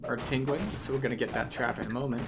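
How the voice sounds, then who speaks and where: Male game guide, methodical and explanatory, gravelly fry in the voice, music underneath. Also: medium pitch, fairly even intonation, fast talker. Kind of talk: guided video game walkthrough commentary.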